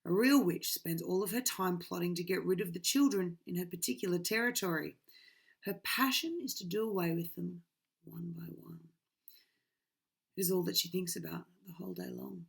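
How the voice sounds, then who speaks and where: A woman's voice: fairly low pitch, normal speed, gravelly, breathy edge. A woman, reading a children's book aloud dramatically into a webcam in a small bedroom. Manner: dramatic, swinging from loud to hushed. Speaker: reader.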